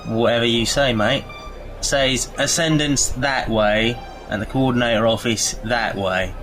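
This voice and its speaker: A man's voice: mid-range voice, steady tone, unhurried, fairly bright tone, faint music behind, natural voice. An actor playing a cheeky, flippant augmented man in an audio drama. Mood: cocky. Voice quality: chatty, cocky.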